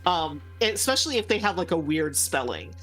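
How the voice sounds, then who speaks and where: Lady chatting about a game, voice goes up and down a lot, halting, faint music behind. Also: on the low side, very animated, fairly bright tone, husky, poor audio. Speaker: co-host of a game playthrough. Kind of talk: casual chat, game commentary.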